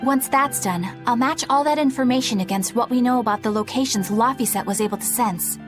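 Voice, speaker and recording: A woman's voice: fairly high pitch, brisk, music in the background, natural voice. An actress playing a precise, earnest, prickly young woman who speaks formally and insistently in a video game. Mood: methodical.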